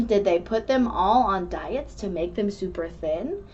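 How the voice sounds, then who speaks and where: A woman reading a rhyming children's book aloud in an expressive, measured storytelling manner. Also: mid-range voice. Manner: animated, measured storytelling delivery. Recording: dull lo-fi recording with background noise.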